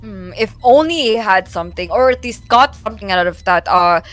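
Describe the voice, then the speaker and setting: A woman's voice: mid-range voice, expressive, fairly quick, a bit bright, soft background music. A woman with a Filipino accent giving analytical live esports commentary, switching between English and Tagalog. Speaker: esports shoutcaster.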